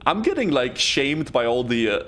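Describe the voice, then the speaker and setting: A man from Denmark, chatting excitedly with his viewers while he streams a game. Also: medium pitch, moderate pace. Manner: excitable, chatty, talks straight to chat. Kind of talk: casual live-stream commentary.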